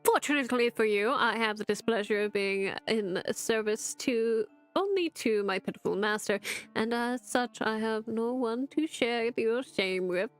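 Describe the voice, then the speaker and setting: A woman's voice: on the high side, measured pace, soft background music, lightly acted. A woman voicing a pompous, grumpy talking book with a haughty, theatrical put-on accent. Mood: indignant.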